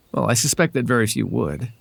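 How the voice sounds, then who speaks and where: Casting: male actor as flirtatious fae wanderer for ASMR roleplay. Husky, animated delivery, gentle and courteous with playful hesitation. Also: medium pitch, full character voice. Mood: gently probing.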